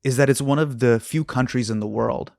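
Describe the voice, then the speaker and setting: A man's voice: mid-range voice, a little fast, gravelly, a little mellow, no echo. Curious man asking probing questions. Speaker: host. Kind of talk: casual interview chat.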